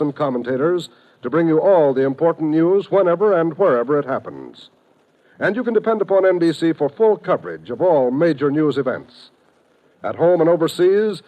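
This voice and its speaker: A man's voice: fairly high pitch, phone-quality sound. An American man announcing from a script in a formal, declamatory 1950s radio style.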